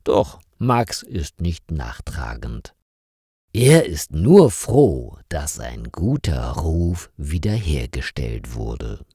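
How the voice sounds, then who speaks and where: A man narrating a scripted story slowly and with clear articulation for language learners. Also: very deep voice, no echo, studio quality. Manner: deliberate, clearly articulated, lively intonation. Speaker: narrator of German learner stories.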